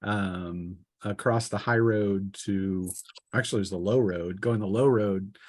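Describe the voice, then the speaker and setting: A man narrating a tabletop role-playing game as game master, in a halting, hesitant delivery with improvised phrasing. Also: on the low side, no room sound, clean studio sound.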